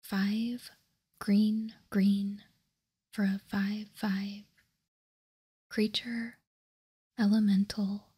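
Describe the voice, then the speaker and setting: A woman whispering softly and breathily in an ASMR style, close to the microphone, reading out and commenting in a careful, deliberate way. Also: medium pitch, little pitch movement, husky. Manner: slow, soft, deliberate delivery with careful pauses. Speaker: host of a trading card ASMR channel.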